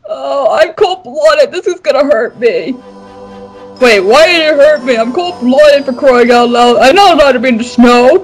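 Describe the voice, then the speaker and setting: A man voicing a dim, whiny cartoon crocodile king in an amateur comedy dub, complaining slowly. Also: extremely high pitch, lively intonation, soft background music, poor audio.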